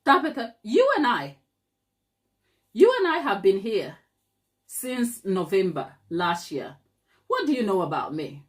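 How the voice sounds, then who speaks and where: A woman's voice: medium pitch, sing-song, a little slow, long pauses. A woman from Ghana with a Ghanaian accent, whose first language is not English, chatting emphatically to viewers on a live stream as a cake maker and channel host. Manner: emphatic, talks straight to viewers, rhetorical questions.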